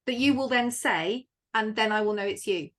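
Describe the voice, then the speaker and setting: A woman's voice: mid-range voice, normal speed. A woman hosting a podcast quiz, casual and playful, poking fun at herself. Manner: playful, self-deprecating. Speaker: podcast host. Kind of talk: casual chat, running a quiz.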